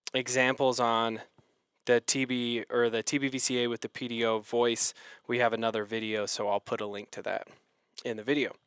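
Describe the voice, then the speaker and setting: A man giving a relaxed, hands-on tutorial, explaining each step as he patches, with the odd "um". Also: mid-range voice, smooth, no room sound, studio quality. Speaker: synth module demonstrator.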